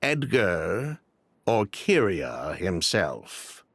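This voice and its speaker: Glib, wildly expressive male swindler. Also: low-pitched, drawn-out words, breathy edge, no room sound, exaggerated voice. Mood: casual, evasive. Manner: theatrical, glib, defensive storytelling. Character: evasive ex-convict perfume swindler, adult man. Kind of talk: video game voice acting.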